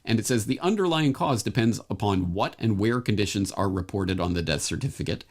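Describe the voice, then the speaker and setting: A man's voice: on the low side, expressive, brisk. A man reading scripted documentary narration, laying out information in a formal register.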